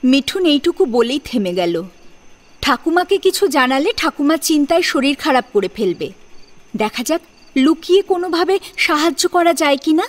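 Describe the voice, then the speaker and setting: High, expressive female storyteller. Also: normal speed. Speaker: story narrator. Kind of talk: expressive dramatic audiobook narration. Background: music.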